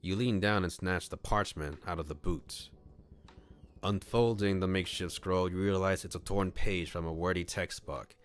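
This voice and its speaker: A man streaming an expressive, playful read-aloud of a visual novel, narrating and acting out the dialogue. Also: low voice, moderate pace, smooth. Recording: music behind the voice. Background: game music.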